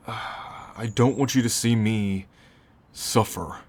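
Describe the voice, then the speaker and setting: A man playing a gruff, brooding teacher who is turning into a werewolf, halting and protective, in an ASMR roleplay. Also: on the low side. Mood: worried, tender. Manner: halting, sighing, sardonic.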